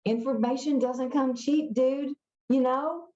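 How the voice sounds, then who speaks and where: A woman's voice: sits high, steady tone, unhurried, mellow, echoey room, highs cut off, pitched above the performer's own voice. A woman reading the part of a pushy, sneering middle-school newspaper editor boy in a storybook, insistent and sarcastic. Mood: exasperated.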